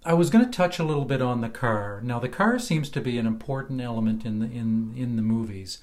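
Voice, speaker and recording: Halting male podcast host. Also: medium pitch, lively intonation, a little mellow. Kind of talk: interview questions to a guest.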